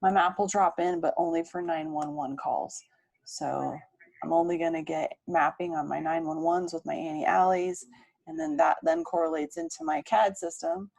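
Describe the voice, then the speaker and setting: Low-pitched woman with a gravelly edge. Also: normal speed, darkish tone, rough recording. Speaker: emergency dispatcher. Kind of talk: informal explanation of the job and workstation.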